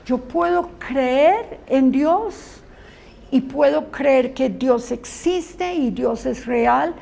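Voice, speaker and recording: A woman's voice: high-pitched, drawn-out words, vocal fry, mellow. A woman Bible teacher giving a conversational classroom lecture to students. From Colombia. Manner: earnest, conversational teaching with asides.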